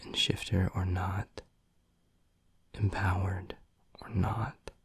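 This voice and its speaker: A man playing a tender, gruff young werewolf boyfriend in an intimate audio roleplay, speaking in a hushed, husky, earnest way close to the mic. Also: flat delivery, no echo, heavily acted. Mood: tender, reassuring. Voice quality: low, gravelly, husky, breathy. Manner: hushed, slow, earnest, halting. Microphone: close, dry microphone.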